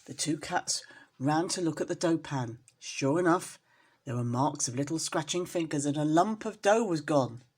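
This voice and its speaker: Expressive woman storyteller with a husky voice. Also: deep voice, moderate pace. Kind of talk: expressive children's story reading.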